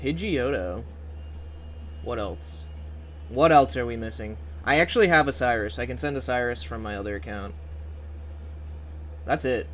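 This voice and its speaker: A young man chatting casually on a livestream as he plays, trailing off into quiet, mumbled asides and swearing now and then. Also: medium pitch, expressive, moderate pace, long pauses, soft background music. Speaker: streamer.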